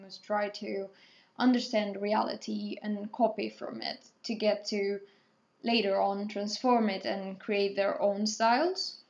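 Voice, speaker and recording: Slow-talking young woman artist, non-native English. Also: medium pitch, darkish tone, muffled recording. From Portugal, raised in Spain. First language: Spanish or Portuguese. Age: 20s. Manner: rambling, self-correcting, hesitant with ums. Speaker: artist, channel host. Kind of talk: casual talkthrough over painting.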